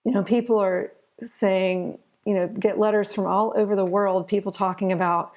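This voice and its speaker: A woman's voice: medium pitch, steady tone, moderate pace, darkish tone. A middle-aged American woman speaking conversationally as an interview guest, explaining things through chatty anecdotes. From United States. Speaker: interview guest, children's author.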